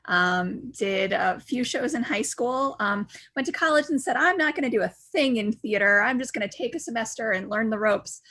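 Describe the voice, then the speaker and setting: A woman's voice: a little high, expressive, moderate pace, hardly any pauses, pushed and bright. A woman chatting casually as an interview guest, telling anecdotes in a talkative way. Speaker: theater actor and director, guest.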